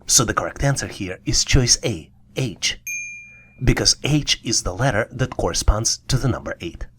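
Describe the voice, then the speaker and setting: A man's voice: on the low side, expressive, moderate pace, fairly bright tone, husky, no room sound. A man narrating a scripted puzzle tutorial as an instructor, encouraging and speaking directly to the listener. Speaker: online test-prep instructor.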